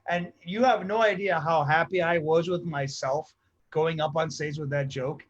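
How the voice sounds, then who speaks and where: Host: high-pitched man, middle-aged, chatty rambling storyteller, dull lo-fi sound. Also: steady tone, moderate pace. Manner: rambling, repeats himself, storytelling. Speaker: comedy show host. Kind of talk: casual chat.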